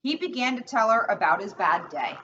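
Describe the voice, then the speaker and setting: Bright, lively woman reading from a distance. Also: on the high side, fairly quick. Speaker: police officer reading a children's book. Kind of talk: read-aloud storytelling with casual intro. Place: indoors in a borough hall seating area. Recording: distant mic, dull sound, faint noise.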